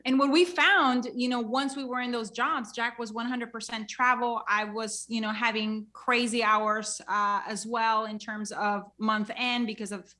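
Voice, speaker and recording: A woman's voice: fairly high pitch, fairly even intonation, a little slow, few pauses, pushed and bright. A woman from Honduras, a guest on a podcast, telling her story in an easygoing way with lots of fillers. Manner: many fillers like um and you know. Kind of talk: casual interview storytelling.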